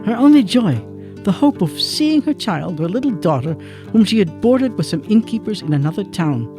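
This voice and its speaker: A woman's voice: medium pitch, big pitch swings, normal speed. Woman narrator over prominent guitar music. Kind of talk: scripted dramatic narration.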